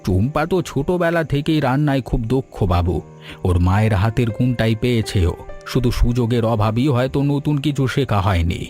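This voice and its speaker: Guy doing a humble old grandpa stall owner in a cartoon, medium pitch, deferential, music underneath. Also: lively intonation, a little fast, a little mellow, natural voice. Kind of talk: animated cartoon story dialogue.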